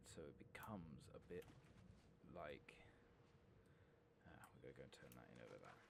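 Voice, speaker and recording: Very deep, gravelly soft-spoken man. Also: very animated, heavy background noise. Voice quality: gravelly, breathy low voice with whispering. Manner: hushed, unhurried, rambling. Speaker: streamer and host. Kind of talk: soft-spoken, whispered ASMR game commentary. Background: video game ambience.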